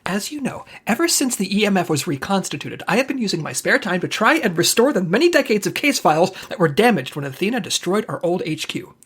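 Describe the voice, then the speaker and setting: Theatrical, lively secret agent turned radio detective. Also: female voice, medium pitch, fast, hardly any pauses, slightly rough. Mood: earnest, dramatic. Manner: theatrical, wisecracking narration. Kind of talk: 1940s radio detective acting.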